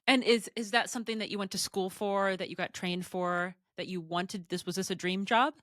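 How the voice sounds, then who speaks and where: A woman's voice: mid-range voice, fairly even intonation, moderate pace, fairly bright tone. A woman with a mid-range voice interviews as a podcast host. Her questions come in a conversational style, and the sound is dry with very little room echo.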